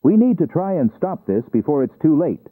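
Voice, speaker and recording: A man playing a grave government official, giving an earnest, measured scripted briefing. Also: on the high side, sing-song, no echo. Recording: tinny, band-limited radio message.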